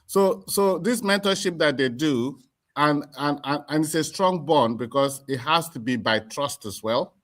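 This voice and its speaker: A middle-aged Nigerian man with a Nigerian accent, speaking as an interview guest in a deliberate, emphatic way, repeating words as he forms his points. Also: a little high, fairly bright tone. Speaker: community association chairman, interview guest. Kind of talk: explanatory interview talk.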